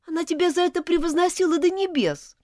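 A woman's voice: very high voice, quick pace, dark tone, dry recording, studio quality, pitched above the performer's own voice. A woman reading aloud, voicing an indignant schoolgirl who scolds and accuses. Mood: outraged. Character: furious indignant schoolgirl, sharp-tongued. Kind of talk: audiobook character voice.

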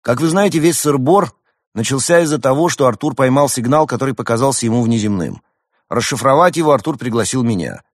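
A man's voice: medium pitch, lively intonation, a little fast, no echo, pitched above the performer's own voice, natural voice. A cocky young hedgehog student from a storybook, as a male narrator plays him, eager and boastful. The voice is raised well up and swings with theatrical emphasis, in a dry close recording. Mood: eager, polite. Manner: self-assured, boastful, theatrical. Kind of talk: acted storybook dialogue.

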